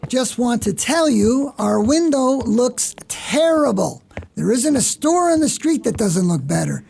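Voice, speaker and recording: A woman playing a critical, demanding shop boss with a gravelly, husky voice in a radio play. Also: on the high side, very animated, unhurried, dark tone, exaggerated voice. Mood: grumbling. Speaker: actor. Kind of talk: radio theatre acting.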